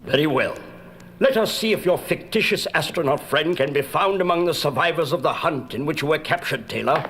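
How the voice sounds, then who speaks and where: An actor playing a stern, pompous ape minister of science in a record audio drama, speaking formally and imperiously. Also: male voice, on the high side, lively intonation, a little fast, fairly bright tone, slight background noise. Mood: stern, scornful. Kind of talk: 1970s children's record audio drama acting.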